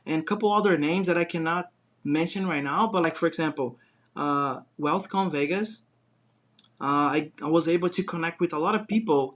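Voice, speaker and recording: A man's voice: a little high, fairly bright tone. A man from Brazil with a Brazilian Portuguese accent, a guest talking casually and rambling with many fillers and restarts. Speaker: guest, design business owner. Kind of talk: casual interview chat.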